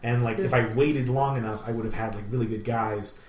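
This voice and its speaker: A man's voice: mid-range voice, steady tone, brisk, darkish tone. Enthusiastic, rambling man in an echoey room. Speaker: podcast co-host. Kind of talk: casual chat. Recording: roomy, echoey room sound.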